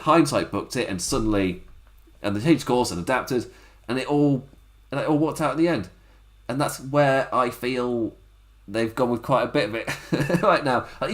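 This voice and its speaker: A man hosting a casual solo wrestling review from home, rambling and laughing often. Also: mid-range voice. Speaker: wrestling podcast host. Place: home setup on camera.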